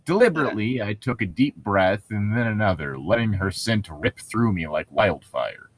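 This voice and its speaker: Male podcast co-host, husky and animated, for loose banter and amateur novel reading. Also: fairly low pitch, normal speed, low-quality recording. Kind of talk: casual chat and amateur book reading.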